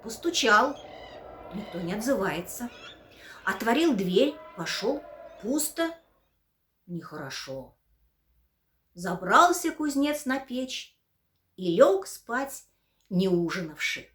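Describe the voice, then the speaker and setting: Выразительная сказочница, женщина. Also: female voice, medium pitch, slow, long pauses, slight background noise. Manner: expressive, storyteller's cadence. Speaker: actress reading a fairy tale. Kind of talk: bedtime story narration.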